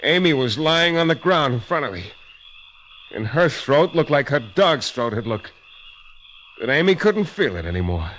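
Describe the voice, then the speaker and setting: A man's voice: medium pitch, very animated, normal speed, fairly bright tone. An actor playing a tough, wry private detective who narrates his own case in a clipped 1940s radio style. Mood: urgent, grim. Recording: noisy vintage radio recording.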